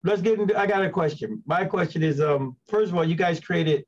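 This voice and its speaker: A man's voice: a little high, brisk. Male interview host, casual and conversational, filler-prone, slightly lo-fi call audio. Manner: repeats "you know" as filler. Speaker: show host. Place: indoors on a video call.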